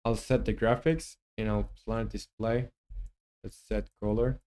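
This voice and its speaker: A man's voice: on the low side, level tone, unhurried, warm tone. A man who develops a game on a live stream, muses aloud while he codes and chats with viewers. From Chile.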